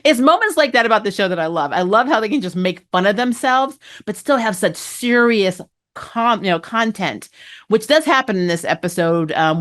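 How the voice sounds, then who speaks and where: A woman's voice: medium pitch, fairly bright tone. A woman hosting a fan review, casually recapping a TV show with chatty, slangy, gushing enthusiasm and plenty of opinion. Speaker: host of a fan TV review channel.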